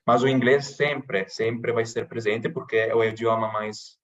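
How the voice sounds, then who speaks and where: A man from Italy speaking with an Italian accent, chatting casually in a podcast interview with many pauses and restarts. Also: medium pitch, steady tone, muffled recording. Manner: hesitant, many restarts and fillers. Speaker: interviewee, channel host.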